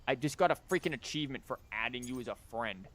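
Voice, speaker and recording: A man's voice: mid-range voice, expressive, quick pace, a bit bright. A man giving casual livestream commentary on a game, rambling informally and thinking aloud with false starts. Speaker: video game streamer.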